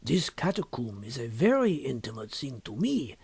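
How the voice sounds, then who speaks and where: A man voicing a sly, impassive, secretive scholar in an audiobook story, with measured, deliberate and formal phrasing. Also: medium pitch, big pitch swings, creaky, a little mellow, slightly rough, no echo, exaggerated voice. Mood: sly, probing. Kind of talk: audiobook character dialogue.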